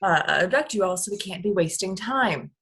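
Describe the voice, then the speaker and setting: A businesslike alien boss, played by a woman, impatient and no-nonsense. The voice is husky at a medium pitch, in a comedy script read. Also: lively intonation, moderate pace, a bit bright.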